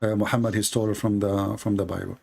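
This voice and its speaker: A man's voice: on the low side, little pitch movement, fast, gravelly, a little mellow, slightly rough. A man hosting a call-in show, talking in a rambling way with many fillers and restarts. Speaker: host of a call-in debate show. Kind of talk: casual call-in talk.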